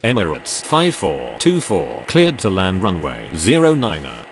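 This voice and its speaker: A deep male aviation voice acted by a man with very animated pitch movement and a creaky edge. He gives official, formulaic radio calls as in a flight control game. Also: a little slow, slight background noise, full character voice. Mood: official, steady. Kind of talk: scripted aviation radio phraseology.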